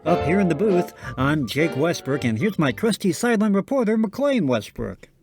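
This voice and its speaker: A man's voice: a little high, big pitch swings, normal speed, a little mellow, breathy edge, no echo, faint music behind. A man hosting a radio show in scripted comedic banter, playing the polite, slightly hesitant straight man.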